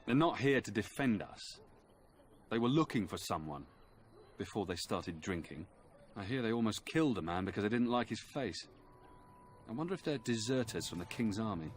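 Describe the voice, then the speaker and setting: A man's voice: medium pitch, close to an everyday voice. A man as a grumbling, folksy villager. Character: disgruntled, plain-spoken village man. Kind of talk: fantasy game voice acting.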